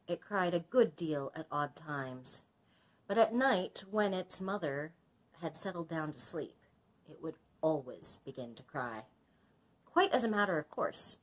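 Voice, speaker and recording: A woman reads a children's book aloud in a low, husky voice. Her intonation swings widely as she narrates. She has the explanatory manner of a librarian teaching young listeners. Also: fairly bright tone, poor audio. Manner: instructive, explains old words to young listeners. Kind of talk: expressive storybook narration with explanatory asides.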